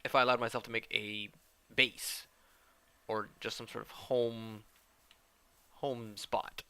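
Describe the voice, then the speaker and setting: A man's voice: lowish voice, flat delivery, takes it slowly, bright, forceful tone, smooth, dry recording. A man giving chatty, self-deprecating let's-play commentary while he plays a game. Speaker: gamer commentator.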